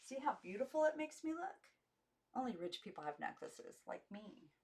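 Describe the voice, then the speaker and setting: A woman's voice: a little high, big pitch swings, moderate pace, a little mellow, voice pushed up. A woman voicing a bossy, showy young girl in a storybook, explaining things in an imperious, scornful way. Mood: showing off. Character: bossy, showy young girl playing know-it-all teacher.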